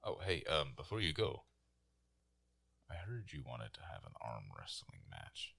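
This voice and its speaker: A man's voice: extremely low pitch, huge pitch swings, unhurried, creaky, pushed and bright. A man casually improvising as a tabletop roleplay player, with a dry, joking, informal manner.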